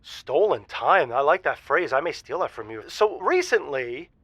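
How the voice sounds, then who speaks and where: A man's voice: on the high side, big pitch swings, moderate pace, fairly bright tone, no echo. A man playing a nervous, eager middle-aged married caller to a radio show, stammering and gushing in an improvised audio drama. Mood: bashful. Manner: flustered, stammering, gushing, hesitant.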